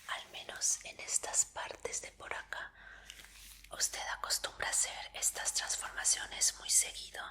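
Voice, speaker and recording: A woman doing a whispered ASMR medical roleplay in a breathy voice, speaking politely and reassuringly as an examiner. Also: pushed and bright.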